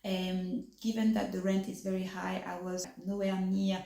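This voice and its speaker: A woman's voice: medium pitch, completely flat delivery, normal speed, vocal fry, dark tone, reverberant. A woman from France with a French accent, speaking English as a second language in a casual vlog chat, her words slightly affected by new braces and broken up by fillers. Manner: articulation a little off from new braces; hesitant, many fillers and restarts. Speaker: vlogger.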